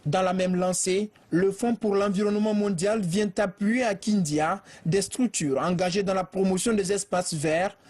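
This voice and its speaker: A man reading a news report voice-over in a steady, formal way. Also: sits high, moderate pace, hardly any pauses. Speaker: field reporter.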